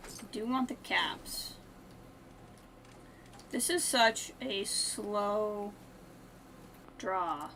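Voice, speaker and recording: A woman's voice: mid-range voice, expressive, extremely slow, pauses often, a bit bright, slight background noise. A woman live streaming a game with casual commentary, talking to herself in short, playful asides. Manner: talks to self in short asides, playful wording.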